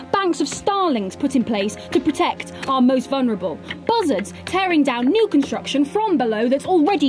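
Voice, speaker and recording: High-voiced, militant bird commander. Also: female voice. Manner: assertive, insistent, scornful. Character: militant, defiant budgie commander rallying birds against humans. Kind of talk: audio drama acting.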